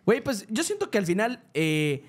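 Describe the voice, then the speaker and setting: A young man with a Mexican accent hosts a podcast, his voice pitched a little high. He teases his co-host in loose slang and slips into a mock-formal tone for news items. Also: wildly swooping pitch, normal speed. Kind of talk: casual comedic chat. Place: podcast studio.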